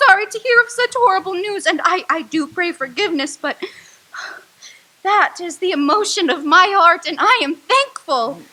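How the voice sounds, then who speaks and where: An anxious young wife, played by a woman, bursts with nervous excitement. Her voice is very high and bright. Part of a stage radio play. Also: moderate pace, heavily acted, low-quality recording. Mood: excitable.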